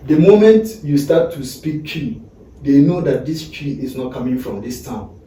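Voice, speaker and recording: Male host, casual prompting interviewer, very dark tone, heavy room echo. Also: medium pitch, lively intonation, normal speed. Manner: prompting, finishes the other's sentences. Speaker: host asking questions. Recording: very echoey room, distant mic, faint noise.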